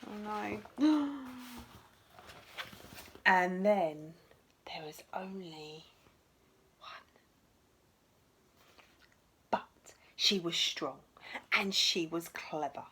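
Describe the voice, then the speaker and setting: A woman teacher reading a storybook aloud to young children in an expressive, dramatic way, with asides to the listeners. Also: fairly low pitch, extremely slow, long pauses, a little hiss or hum.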